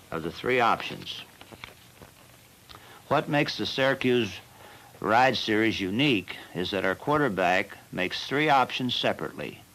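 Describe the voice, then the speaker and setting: A man narrating a football training film as the team's coach, in a measured and plain instructional manner. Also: fairly low pitch, fairly bright tone, rough recording.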